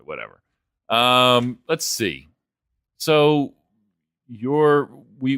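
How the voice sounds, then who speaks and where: Male sports co-host, smooth low register, blunt and profane, off-the-cuff chat. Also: a bit bright, no room sound, clean studio sound.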